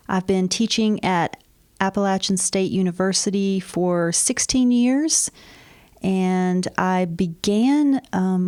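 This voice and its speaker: A woman who teaches and interprets sign language, giving clear, teacherly explanations with examples during an interview. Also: fairly low pitch, a little mellow.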